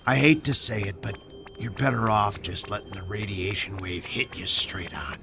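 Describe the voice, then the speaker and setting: An actor playing a shaken, fatalistic scientist in a radio drama, speaking haltingly and dropping into whispers. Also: male voice, on the low side, creaky, music in the background. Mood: resigned, apologetic. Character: Bob, shaken male scientist locked out, fatalistic.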